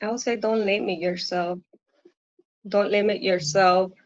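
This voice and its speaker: A woman from Puerto Rico speaks with a Puerto Rican accent, English her second language after Spanish. She talks slowly and earnestly, often stopping and restarting her sentences. The audio is dry and a little dull, like a lo-fi call recording. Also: medium pitch, fairly even intonation, dry recording. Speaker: coaching client, working mom. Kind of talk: live coaching conversation.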